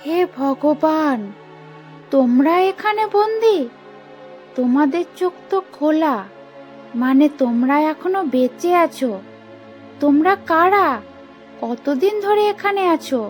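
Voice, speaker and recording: A woman playing a determined, anguished young mother in an animated story, speaking in an earnest, melodramatic way. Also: high-pitched, takes it slowly, pauses often, faint music behind. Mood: shocked.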